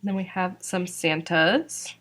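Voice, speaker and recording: A young woman talks casually and unhurriedly, filling her sentences with "like" and "um." Her voice sits a little low and sounds a bit worn, as if she has been losing it lately. She is from Georgia. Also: fairly even intonation, slow, a bit bright. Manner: chatty, fillers like "like" and "um". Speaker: sticker shop owner presenting new releases. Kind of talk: casual product walkthrough.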